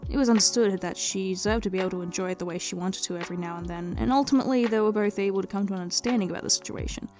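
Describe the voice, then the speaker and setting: A woman's voice: mid-range voice, rapid-fire, darkish tone. A woman narrating her own written story as a dramatic reading, in the manner of a storyteller. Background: background music.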